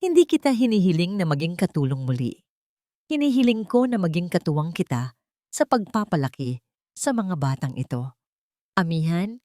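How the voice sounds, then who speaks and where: A woman's voice: lowish voice, dark tone, no room sound, clean studio sound. A woman voicing an earnest, remorseful man in love in an audiobook, tender and solemn.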